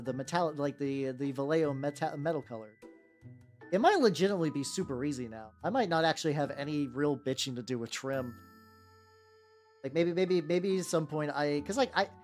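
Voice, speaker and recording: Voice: medium pitch, a little mellow. A streamer chatting casually and irreverently while painting miniatures, swearing freely and often stammering or repeating words mid-sentence. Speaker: livestreamer. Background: background music.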